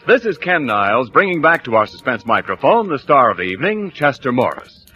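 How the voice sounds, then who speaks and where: An American man working as a radio announcer, giving polished, emphatic 1940s sponsor pitches from a script. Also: sing-song, brisk. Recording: muffled old radio broadcast with faint music.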